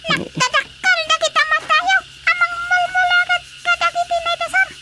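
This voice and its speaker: This is an extremely high, insistent comic character in an Ilocano radio drama. The voice nags and urges in broad comedy style. The recording is very noisy, with animal sounds around it. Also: little pitch movement, normal speed.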